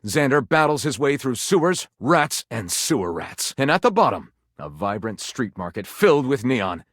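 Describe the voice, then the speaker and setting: A man's voice: medium pitch, very animated, moderate pace, fairly bright tone, no echo, studio quality. A man playing a theatrical game character who narrates pulpy detective adventures with arch mock gravity and a tongue-in-cheek manner. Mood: arch, dramatic. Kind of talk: dramatic scripted story narration.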